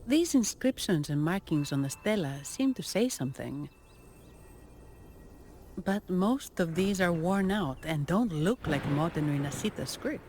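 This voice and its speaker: A woman's voice: fairly low pitch, animated, a little slow, a little mellow, a little hiss or hum. Composed, practical female adventurer. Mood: curious, practical. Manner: matter-of-fact, questioning. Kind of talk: video game voice acting.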